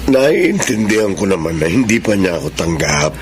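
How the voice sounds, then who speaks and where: A man's voice: low-pitched, big pitch swings, normal speed, slight background noise. An actor playing a middle-aged father and suitor, apologetic and coaxing, in a radio drama. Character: conciliatory, wheedling older widower-type suitor and father. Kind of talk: Tagalog radio drama acting.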